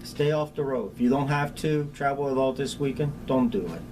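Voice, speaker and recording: A man's voice: mid-range voice, a little fast. Spanish-accented middle-aged man over background noise. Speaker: county hazardous materials chief being interviewed. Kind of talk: informal interview answers. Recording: clear steady background ambient noise.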